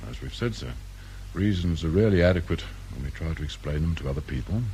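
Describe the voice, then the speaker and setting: A man acting a calm, probing police inspector with a British accent in a radio crime drama, speaking in a measured, politely firm way. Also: extremely low pitch, a little hiss or hum, poor audio. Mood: grave, measured.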